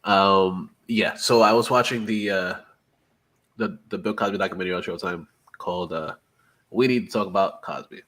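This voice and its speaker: A man with a congested nose and a leftover cough joins the podcast chat. His voice is husky and low. He talks loosely and swears freely, full of enthusiasm. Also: moderate pace, fairly bright tone. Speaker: podcast co-host.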